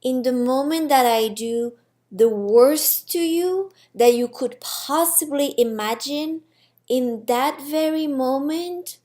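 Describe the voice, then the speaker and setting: A gentle, angelic spirit from a storybook, voiced by a woman, grave and selfless. The delivery is very slow, quiet and solemn, each phrase placed with care. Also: high voice.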